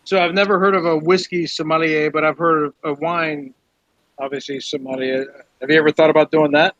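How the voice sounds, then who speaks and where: A man's voice: on the high side, steady tone, fairly quick, fairly bright tone, dry recording. Man, curious questions, casual chat, dull lo-fi mic, dry sound. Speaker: roundtable panelist.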